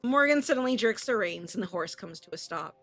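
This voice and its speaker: A woman's voice: mid-range voice, animated, fairly quick, fairly bright tone, soft background music. A woman streamer reading a visual novel aloud expressively, with casual, sweary reactions.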